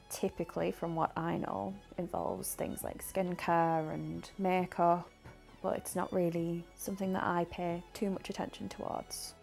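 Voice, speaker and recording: Mid-twenties woman, measured interview answers, low voice with some fry. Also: darkish tone. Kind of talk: documentary interview.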